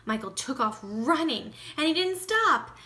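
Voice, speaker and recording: A woman reads a children's story aloud like a teacher, slowly and deliberately. Her voice is high and bright, with very lively pitch and emphatic phrasing, over faint background noise. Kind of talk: expressive storybook read-aloud with asides to children.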